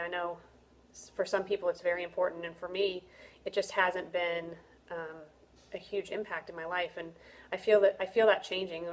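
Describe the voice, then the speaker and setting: A middle-aged woman with a slightly low voice reflects aloud for a class. She sounds hesitant and a little self-conscious, pausing on ums and restarting her sentences. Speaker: student reflecting on a course. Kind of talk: casual spoken reflection.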